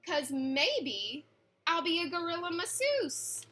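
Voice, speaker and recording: An eager, imaginative eight-year-old schoolboy from a storybook, as acted by a woman reader. The voice is high and bright. He is excitable and boastful, declaiming his grand ideas in rhyme. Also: slow pace, full character voice. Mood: excited, boastful.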